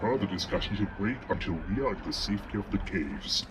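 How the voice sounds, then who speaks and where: Man voicing deep-voiced, wise alien Jedi master. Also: animated, very noisy. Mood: calm, grave. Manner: measured, authoritative. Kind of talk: comic dub voice acting.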